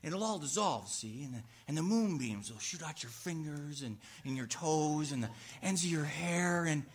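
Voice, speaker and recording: A man as an excitable, stammering small-town young man. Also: a little high, normal speed, breathy edge. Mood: romantic, rambling. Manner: hesitant, stammering, folksy urgency. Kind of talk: 1940s radio acting.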